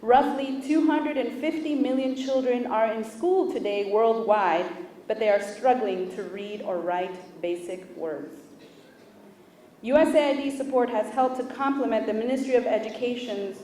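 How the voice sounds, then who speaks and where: A woman whose first language is Spanish gives a formal prepared speech as an official at a launch event, with measured, deliberate delivery and pauses between listed items. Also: a little high, mellow, a little hiss or hum. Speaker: official speaking at a book launch. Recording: echoey room picked up from a distance.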